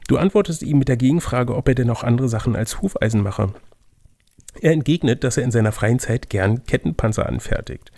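A man's voice: medium pitch, animated, a little fast, gravelly, warm tone, no room sound, studio quality. A man narrating an adventure gamebook as game master and chatting casually with his co-player. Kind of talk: reading a gamebook aloud, with casual banter.

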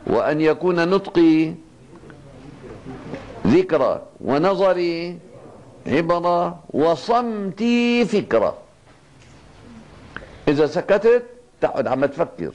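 A man's voice: a little high, a little mellow, rough recording. Older Levantine sheikh preaching, fatherly. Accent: Levantine Arabic. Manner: fatherly, addresses listeners as "my son", slow emphatic repetition. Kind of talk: Ramadan evening sermon lesson. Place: mosque, seated at a pulpit desk. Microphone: two stand microphones on the desk.

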